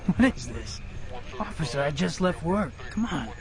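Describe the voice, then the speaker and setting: Indignant, animated driver. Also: male voice, on the high side, moderate pace, crowd noise. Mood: indignant, alarmed. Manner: protesting, pleading. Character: indignant, alarmed male driver leaving work. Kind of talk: audio drama acting.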